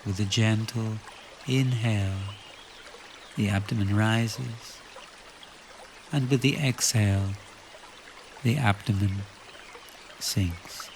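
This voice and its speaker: A man leading a guided relaxation meditation, giving calm instructions in short phrases with long pauses between them. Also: fairly low pitch, noisy background.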